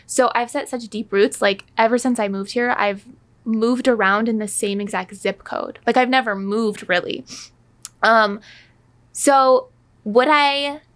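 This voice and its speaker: A woman's voice: a little high, unhurried, fairly bright tone. A woman in her twenties co-hosting a podcast, talking casually with many fillers. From born in Iraq, raised in San Diego. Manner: chatty, lots of fillers. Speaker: podcast co-host. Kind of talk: casual chat.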